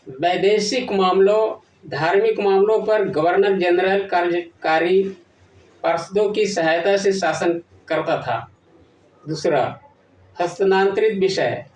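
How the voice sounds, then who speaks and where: A man presenting a scripted educational lesson, reading formally in short, halting phrases. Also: high voice. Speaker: presenter of an educational history channel. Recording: echoey room, distant from the mic.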